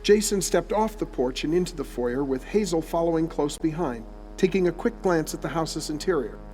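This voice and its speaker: Male storyteller for audio drama, descriptive scripted read, lighter higher pitch, music bed. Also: a little mellow, poor audio. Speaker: narrator.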